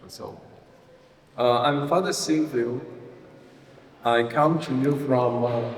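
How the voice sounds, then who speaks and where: Middle-aged male priest, wry and halting, speaking from a distance in an echoing hall. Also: mid-range voice, slight background noise. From Romania. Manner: self-deprecating, wry, halting with fillers. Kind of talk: informal retreat lecture. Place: large hall.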